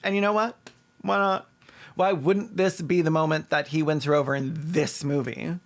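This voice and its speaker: A man's voice: fairly high pitch, steady tone, normal speed, soft background music. A man delivering sarcastic recap commentary as a video host, mocking what he describes and acting out bits of dialogue. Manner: sardonic, mocking, acts out dialogue.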